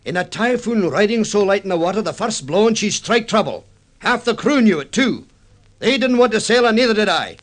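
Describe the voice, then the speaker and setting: A bitter, weather-beaten sea captain in old radio drama style. His voice sits a little high and swings widely as he makes his blunt, forceful accusations. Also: lively intonation, brisk. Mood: accusing, bitter. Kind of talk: 1950s radio drama acting.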